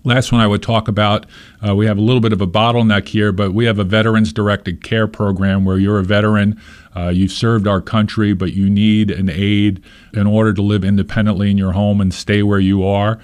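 Low-voiced man talking plainly, dry sound. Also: flat delivery, a little fast, a little mellow, smooth, dry recording. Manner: conversational, many "uh" fillers and restarts. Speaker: podcast host, here the guest. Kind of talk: casual interview answers.

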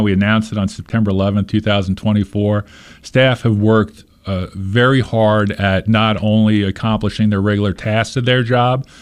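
A man's voice: fairly low pitch, no room sound. A man who hosts a podcast, answering interview questions in a conversational way with many fillers and restarts. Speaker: podcast host, here the guest.

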